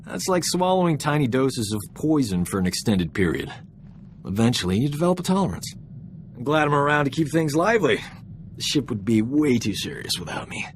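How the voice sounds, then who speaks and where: A man's voice: medium pitch, very animated, brisk, natural voice. Male actor as cocky ship's doctor, breezy wisecracker, video game dialogue, faint background noise. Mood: cocky, teasing.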